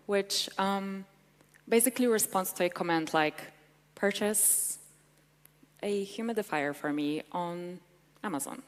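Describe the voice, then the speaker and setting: A young woman presents at a tech conference in an eager, chatty way. Her voice sits at a middle pitch. The recording carries the long ring of a large hall. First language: Polish. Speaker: conference speaker.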